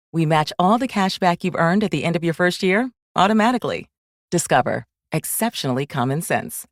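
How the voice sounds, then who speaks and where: A woman's voice: low-pitched, dry recording, studio quality. A woman reading a scripted explanatory advertisement as an announcer.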